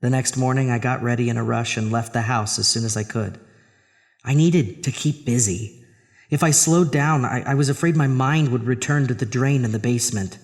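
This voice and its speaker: A male actor plays a troubled, haunted man telling his own horror story. He narrates dramatically in the first person, in a mid-pitched voice suited to a chilling audio drama. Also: moderate pace, big-room sound, close to an everyday voice. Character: Arthur, troubled married man haunted by a drain.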